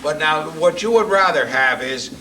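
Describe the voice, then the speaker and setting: A man talking casually as a performer rehearsing a radio play, excitable and quick to interrupt. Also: on the high side, echoey room, far from the mic. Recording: noisy room, a little off mic.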